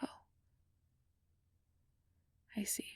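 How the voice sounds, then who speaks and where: A woman playing a nervous, earnest young fantasy researcher in an ASMR roleplay, rambling and self-doubting, with soft breathy delivery. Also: drawn-out words, no room sound, studio quality. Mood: hesitant, quiet. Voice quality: breathy, often dropping to a soft whisper. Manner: flustered, stammering, over-explaining, self-doubting.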